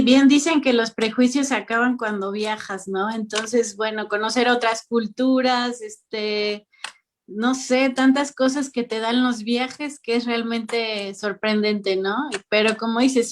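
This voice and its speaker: Woman host on a video call, kinda high voice, easygoing chatty tone. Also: fairly even intonation, unhurried. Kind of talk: casual interview.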